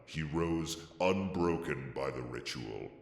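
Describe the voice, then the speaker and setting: A man acting as a solemn, ancient narrator of legend in a video game, grave and stately with a very deep, gravelly voice. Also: expressive, takes it slowly, reverberant, long reverb tail, heavily acted. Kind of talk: scripted epic video game cutscene narration.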